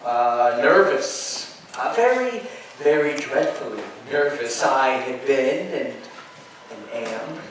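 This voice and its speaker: A male stage actor playing a nervous, gloating murderer in a theatrical gothic horror monologue, halting and stammering as he insists he is sane. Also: mid-range voice, lively intonation. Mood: nervous, defensive. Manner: halting, stammering, drawn-out phrases with sudden emphasis. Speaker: stage actor performing a dramatic reading. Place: live performance space at an amusement park show. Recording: echoey live show, distant mic, faint noise.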